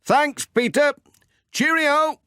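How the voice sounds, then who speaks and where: A man's voice: extremely high pitch, slow, sharp tone, no room sound, clean studio sound, pitched above the performer's own voice, full character voice. A man voicing a cheerful, good-natured village postman in a children's storybook, friendly and given to exclaiming. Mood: cheerful, grateful.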